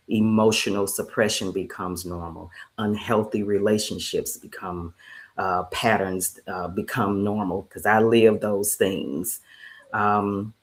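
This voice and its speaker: A woman coach speaks on an online panel in a low voice at an unhurried pace. She builds her points in deliberate, repeated phrases. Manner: slow, deliberate, list-like phrasing. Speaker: peer recovery specialist and life coach. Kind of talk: panel discussion.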